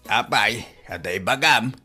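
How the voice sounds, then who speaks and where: A man's voice: lowish voice, expressive, measured pace, sharp tone, slightly rough, faint music behind, heavily acted. A man with an Ilocano accent playing a blunt older father giving advice in a comedy radio drama. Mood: questioning.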